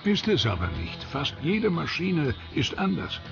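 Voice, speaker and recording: A man reading scripted documentary narration in a lively voice over music. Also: on the high side, measured pace, vocal fry, highs cut off.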